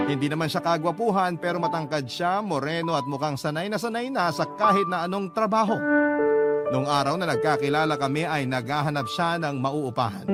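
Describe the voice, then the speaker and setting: A man narrating a scripted first-person story in the manner of a radio storyteller. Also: on the high side. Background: loud background music.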